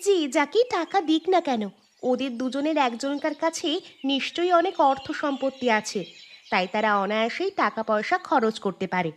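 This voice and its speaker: A woman's voice: high voice, lively intonation, moderate pace, slight background noise. A woman voicing a suspicious farmer's wife in a village cartoon, talking in a gossipy, accusing way. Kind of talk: cartoon voice acting.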